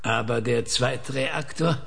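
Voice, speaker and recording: An actor playing a sixty-eight-year-old scientist in a radio drama, questioning in a measured, methodical and patient way. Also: male voice, medium pitch, creaky, fairly bright tone, exaggerated voice. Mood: patient, probing. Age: 60s. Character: probing, patient male senior scientist, aged sixty-eight.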